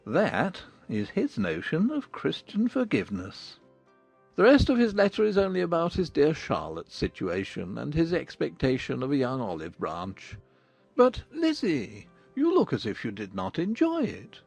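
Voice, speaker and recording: A man voicing a witty, teasing older father with a gravelly, husky voice in a dramatised audiobook. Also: a little high, huge pitch swings, a little slow, a little mellow, soft background music, pitched below the performer's own voice, exaggerated voice. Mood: amused, sardonic. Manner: sardonic, theatrical emphasis.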